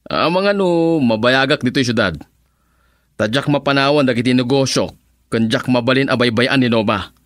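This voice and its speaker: A man's voice: medium pitch, moderate pace, pauses often, no room sound, clean studio sound, lightly acted. An actor playing a friendly, helpful city businessman in a Filipino radio drama, with a chuckling, conversational manner. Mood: cheerful, chatty.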